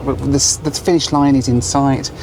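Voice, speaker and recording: A man filming himself on a long-distance bus, hoarse and run down, talking in a tired, low-key, chatty way. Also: steady tone, moderate pace, noisy background. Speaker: travel vlogger. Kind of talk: travel vlog with voice-over narration. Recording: loud music under the voice.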